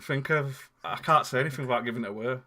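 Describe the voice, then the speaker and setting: A man's voice: mid-range voice, quick pace, sharp tone. A man, co-hosting a casual chat, rambling and laughing often. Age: 30s. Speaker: co-host.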